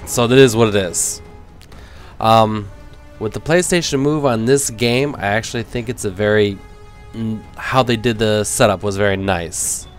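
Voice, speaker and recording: A man in his thirties with a cold that leaves him nasal, giving rambling, self-deprecating casual gameplay commentary. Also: fairly low pitch. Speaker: gaming commentator.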